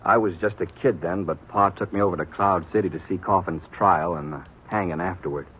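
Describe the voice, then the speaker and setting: A stern young rancher with a fairly low voice and emphatic, folksy frontier talk. He is blunt and sure of himself, in old radio drama style. The recording is vintage and dull, with slight noise. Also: a little fast, a bit bright. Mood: grim, intense. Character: stern, hearty young rancher, protective brother, fixed in opinions. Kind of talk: 1950s radio western acting.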